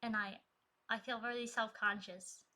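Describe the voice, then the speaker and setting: A woman's voice: fairly high pitch, steady tone, normal speed, sharp tone. A woman chatting casually on a live stream, laughing often and reading viewer messages aloud, with a trained, projecting singing voice. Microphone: streaming desk mic.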